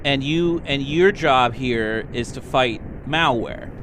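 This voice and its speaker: A man's voice: medium pitch, slow, a bit bright. A man playing a tabletop game with casual, improvised banter, flippant and a little hesitant. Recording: faint background noise.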